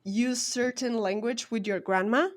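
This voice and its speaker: Higher-pitched woman. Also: fairly even intonation, a little slow. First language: Spanish. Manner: thoughtful, explanatory, academic. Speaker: podcast co-host. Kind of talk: casual podcast chat.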